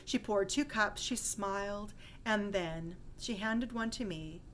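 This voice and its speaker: A woman reading a rhyming holiday story poem aloud with expressive, dramatic storytelling emphasis. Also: mid-range voice, takes it slowly, slight background noise. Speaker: poem reader.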